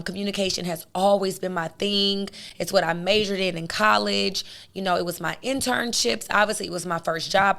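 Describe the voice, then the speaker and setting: A woman with a hoarse, raspy voice, hosting her own podcast in a casual, outspoken monologue that talks straight to her listeners. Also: mid-range voice, a bit bright. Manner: chatty, outspoken, addresses listeners directly. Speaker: podcast host.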